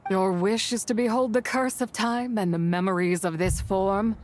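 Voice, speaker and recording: A woman's voice: mid-range voice, steady tone, moderate pace, a little mellow, slight background noise, natural voice. A woman voicing an ancient, menacing spirit in a video game, grave and imperious, with weary, archaic speech. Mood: grave, haunted. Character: ancient, menacing female spirit of impure energy, imprisoned and weary.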